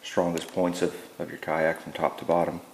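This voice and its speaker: A very deep-voiced man talks in a low, even monotone as he walks through his handiwork. He speaks plainly and fills his pauses with ums and uhs. The sound bounces around an echoey garage, with a faint hum of room noise behind him. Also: vocal fry. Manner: relaxed and plain-spoken, many ums and uhs. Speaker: DIY builder showing his work. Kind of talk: casual how-to walkthrough. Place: indoor garage.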